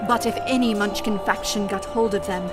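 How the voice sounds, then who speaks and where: An actress playing an earnest, protective good witch in an audio drama, with brisk, formal, theatrical phrasing. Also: mid-range voice, steady tone, natural voice. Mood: solemn, instructing. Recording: music behind the voice.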